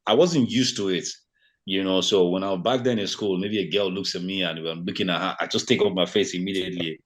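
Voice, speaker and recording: Brief: man in his thirties from Nigeria, Yoruba first language, very low voice, easygoing chatty guest. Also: fast. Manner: relaxed, lots of fillers like "you know" and "man". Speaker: podcast guest. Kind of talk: casual conversation.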